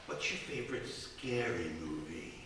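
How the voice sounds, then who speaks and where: A man's voice: lowish voice, big pitch swings, measured pace, vocal fry, a crowd in the background, exaggerated voice. A man playing a menacing, teasing horror-movie phone stalker in a live radio comedy, insistent and theatrical. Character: menacing male prank caller, playful horror-movie stalker. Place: small theatre stage, live. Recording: distant, echoey, noisy live theatre recording.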